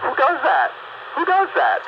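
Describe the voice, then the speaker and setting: A man's voice: very high voice, huge pitch swings, unhurried. A man chatting casually over a two-way radio. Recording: muffled, noisy two-way radio.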